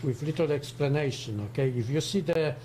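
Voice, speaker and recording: A man in his seventies from Poland with a strong Polish accent, speaking haltingly on a casual livestream as co-host. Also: a little high, darkish tone, slight background noise. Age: 70s. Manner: halting, searching for English words.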